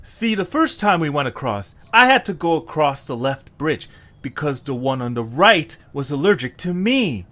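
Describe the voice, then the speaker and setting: Man voicing eager young male adventurer, highish pitch, very animated, exclamatory, audio drama, faint noise. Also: normal speed. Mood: alarmed. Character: excitable young male adventurer leading a stranded group. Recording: clear background hiss and noise.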